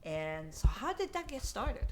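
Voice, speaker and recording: Lively, chatty woman interviewer. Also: medium pitch, measured pace, background noise. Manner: chatty, enthusiastic, stumbles on questions. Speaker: host. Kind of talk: casual interview.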